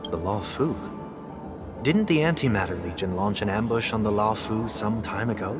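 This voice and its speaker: Man doing a smooth polite young gent, lowish voice, thoughtful, music loud behind. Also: normal speed, close to an everyday voice. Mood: composed. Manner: refined, courteous, thoughtful.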